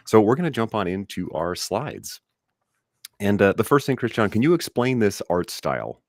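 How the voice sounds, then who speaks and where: A man's voice: low-pitched, no echo, studio quality. A man hosting a live online session, chatty and upbeat, speaking straight to his audience over a video call. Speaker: host and trainer.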